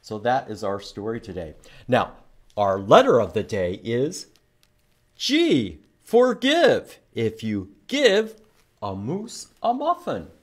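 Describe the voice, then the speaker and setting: Expressive, slow-paced man leading children's storytime. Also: medium pitch. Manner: simple, repetitive teaching, prompting young listeners to repeat. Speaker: children's library storytime host. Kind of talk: children's storytime reading and letter lesson, with some singing.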